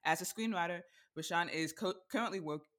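Host, upbeat and giggly, crisp bright tone, casual interviewer. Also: mid-range voice, a little fast. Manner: giggly, upbeat, reads intros then chats. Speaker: podcast host.

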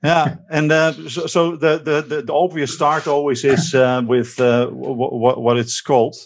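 A man with a Dutch accent hosting a podcast in casual, joking chat, with halting, stammering speech. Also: a little high, little pitch movement. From Netherlands. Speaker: podcast host.

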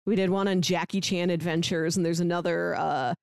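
A woman's voice: on the low side, steady tone, fairly quick, gravelly, a little mellow, smooth, no room sound, studio quality. A woman hosting a podcast in a casual, chatty style. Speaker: podcast host.

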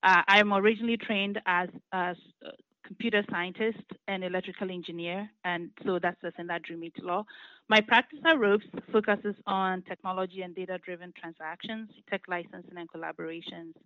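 A woman, a law firm partner giving measured, explanatory interview answers with frequent fillers. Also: on the low side, steady tone, dry recording. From Ghana. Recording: muffled lo-fi audio.

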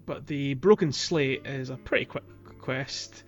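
A man's voice: mid-range voice, a little slow, soft background music. A man co-hosting a gaming podcast, chatting casually and explaining things in an informal, hesitant way. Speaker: podcast co-host.

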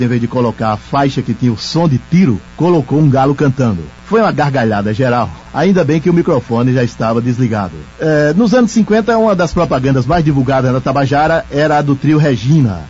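A man with a mellow, soft-edged voice narrates memories as a radio veteran. The sound is a little dull, like radio, with music playing faintly underneath. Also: medium pitch, animated, brisk. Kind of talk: recollecting narration. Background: background music.

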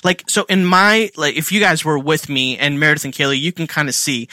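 A man's voice: a little high, a little fast, pushed and bright, no echo, clean studio sound. A man teaching Bible study in a casual way, full of fillers, who asks questions and then answers them himself. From India. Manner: conversational, filler-heavy, asks and answers his own questions.

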